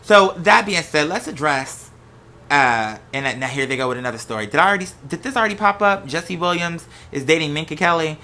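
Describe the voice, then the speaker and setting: An American host talking in a casual, unscripted monologue, with an animated, sassy, slangy way of telling stories. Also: mid-range voice, a little fast. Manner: animated, sassy, slangy storytelling with acted-out quotes. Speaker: host of a gossip and commentary show.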